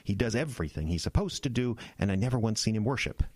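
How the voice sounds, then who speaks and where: An actor playing an earnest, anxious young small-town pastor in an audio drama, halting and self-deprecating. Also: male voice, lowish voice, animated, a little mellow, no echo. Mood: fervent.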